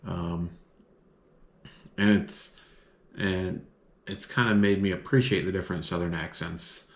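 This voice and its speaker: Deep-voiced man rambling casually. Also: steady tone, measured pace. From northern United States. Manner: rambling, many filler words. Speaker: vlogger. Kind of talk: casual chat to camera. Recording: echoey room.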